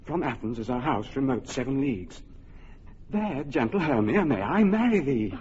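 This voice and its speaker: A man's voice: mid-range voice, sing-song, moderate pace, darkish tone, close to an everyday voice. An actor playing an earnest young nobleman in love, speaking verse tenderly in an old radio drama. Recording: dull lo-fi radio recording, faint hiss.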